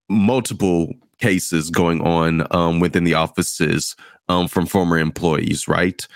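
A man with a very low voice hosts a casual gaming podcast. He talks in a measured way, pausing on fillers as he steers the conversation. His voice sounds close and dry. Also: dry recording. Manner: measured, leans on fillers while steering the topic. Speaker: host.

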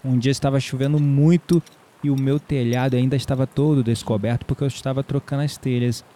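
Um ator brasileiro interpretando um homem tranquilo, brincalhão e sedutor numa áudio série, em tom de conversa. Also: male voice, mid-range voice, steady tone, a little fast, warm tone, close to an everyday voice. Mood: relaxed, fond. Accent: Brazilian. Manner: teasing, chuckling, conversational. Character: easygoing, flirtatious man, herb grower, playful and warm. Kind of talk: audio drama dialogue.

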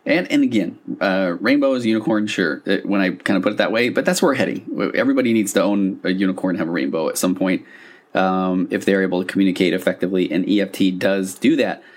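A man's voice: deep voice, fast. A man hosting a podcast, talking in a casual, explanatory, conversational monologue. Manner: conversational, acting out example dialogue lines. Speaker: podcast host.

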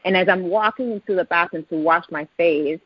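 Fast, excited woman with a Puerto Rican accent. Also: lowish voice, husky, no room sound. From Puerto Rico. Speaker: guest. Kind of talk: storytelling interview. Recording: dull, lo-fi podcast audio.

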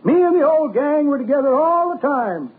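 A jolly old-timer rambles through folksy jokes. His voice is extremely high, rough and cracked, with animated swings. It comes through a muffled vintage radio broadcast. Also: normal speed. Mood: jolly, wry. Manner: folksy, joking storytelling. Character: jolly rambling old man, teller of tall tales. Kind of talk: 1940s radio comedy acting.

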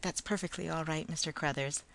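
A woman voicing a gentle but firm male Martian ant who speaks calmly and politely. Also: sits low, gravelly. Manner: calm, polite, measured.

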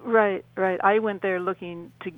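A woman's voice: mid-range voice, steady tone, unhurried. A woman answering conversationally as an interview guest over a phone line. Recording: tinny, dry phone line.

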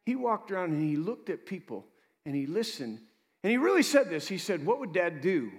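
A man preaching as pastor, moving between loud exhortation and quiet asides, joking with his congregation. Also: high-pitched, big pitch swings, brisk. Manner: jokes with the congregation. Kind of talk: preaching a sermon.

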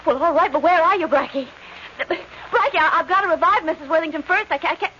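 A woman's voice: very high-pitched, fast, sharp tone. An actress playing an anxious, kindly young woman, a detective's sidekick, in a 1940s radio drama. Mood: flustered. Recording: dull, hissy old radio recording.

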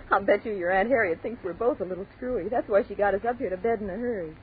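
An actress playing a brisk, skeptical young college student with frayed nerves in a 1940s radio horror drama. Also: female voice, medium pitch, natural voice. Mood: dismissive. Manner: emphatic, dismissive, rattled. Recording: noisy, tinny 1940s radio broadcast.

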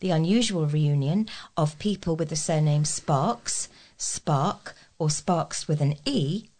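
A woman's voice: low-pitched, slow, a little mellow. A woman reading scripted local news as a volunteer newsreader.

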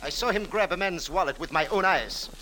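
Officious Cairo police sergeant, high voice, stiff formal commands, noisy vintage radio drama recording. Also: male voice, expressive, brisk, sharp tone. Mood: commanding. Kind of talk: 1940s radio drama acting.

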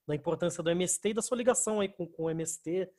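A man with a Brazilian accent hosting a relaxed podcast conversation. His voice is notably high for a man, and he talks in loose, slangy phrases with easy laughter. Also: quick pace. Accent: Brazilian Portuguese. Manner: laid-back, slangy, laughs easily. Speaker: podcast host. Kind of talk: casual podcast chat.

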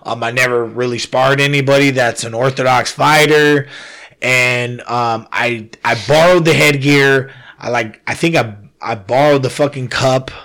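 A man's voice: medium pitch, fairly even intonation, a little slow, a bit bright. Slangy young man telling a fight story. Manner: slangy, profane storytelling with many fillers. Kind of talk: casual podcast chat.

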